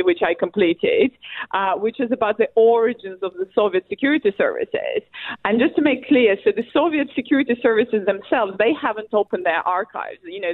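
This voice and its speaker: A woman from Russia with a Russian accent, a historian answering interview questions in a halting way, full of fillers and restarts. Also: mid-range voice, no echo. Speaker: guest, academic historian. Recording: tinny phone line.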